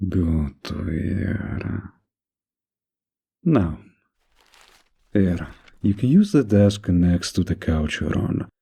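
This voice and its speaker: A man playing a mafia boss in an intimate roleplay audio, menacing yet kindly, with an unhurried, lightly teasing, flirtatious manner and a gravelly, husky voice. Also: deep voice, very animated, mellow, exaggerated voice. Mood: dry, businesslike.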